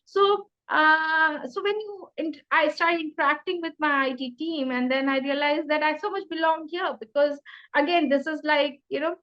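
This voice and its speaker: High-voiced Indian-accented woman. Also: steady tone, normal speed, muffled recording. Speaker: interview guest, career changer. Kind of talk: conversational career interview.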